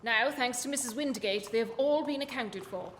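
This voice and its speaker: A woman plays a shrewd Victorian gentleman detective in a comic radio play. The voice is high, formal and precise, with a commanding briskness, and carries long reverb. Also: slight background noise, close to an everyday voice. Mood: urgent, grave.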